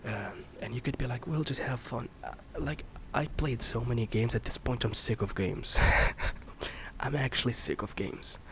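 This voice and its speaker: A man in his late twenties, a streamer rambling in a soft-spoken, candid way, swearing casually and slipping into whispers. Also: medium pitch, normal speed, a little hiss or hum. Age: 20s. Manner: candid, swears casually, many fillers and restarts; drifts between soft speech and whisper.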